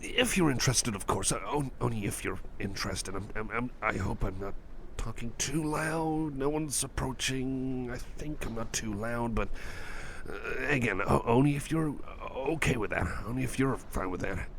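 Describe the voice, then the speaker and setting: A man playing a shy, kind, anxious cyborg friend in an ASMR roleplay, speaking softly and breathily with hesitant, reassuring stammers, close to the microphone. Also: medium pitch, normal speed, a little hiss or hum, lightly acted. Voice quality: soft, breathy, often whispering. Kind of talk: ASMR roleplay, personal attention.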